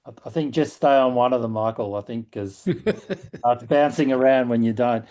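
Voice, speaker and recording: A man's voice: medium pitch, brisk, warm tone. A man talking conversationally in an online discussion, direct and questioning.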